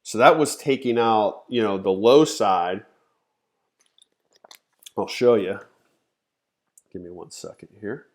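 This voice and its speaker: A man's voice: fairly low pitch, lively intonation, slow pace, pauses often. A man in his thirties with a nasal, congested voice, teaching a live-stream chart lesson in a casual way that thinks aloud. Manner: conversational, thinks aloud, frequent "okay?" and "you know". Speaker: trading educator, live stream host.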